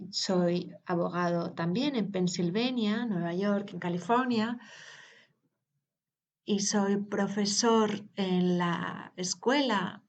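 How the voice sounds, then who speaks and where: Slow, hesitant woman interpreter. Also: medium pitch, highs cut off. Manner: hesitant, with pauses and filler sounds. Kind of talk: live interpretation of a conference talk.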